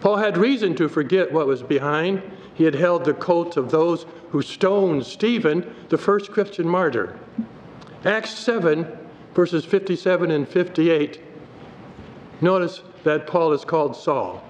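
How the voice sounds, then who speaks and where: An older man, a pastor, delivering a sermon at a pulpit in a church, reading scripture aloud from his notes in a measured way. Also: a little high. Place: church sanctuary. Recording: dull hall recording with faint noise.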